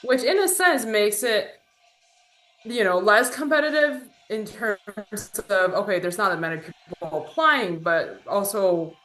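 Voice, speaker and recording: A woman's voice: medium pitch, a little slow, a bit bright, soft background music, rough recording. A young woman from China, an incoming pathology resident, explaining her path in a chatty way with fillers on a video call. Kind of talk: online panel conversation.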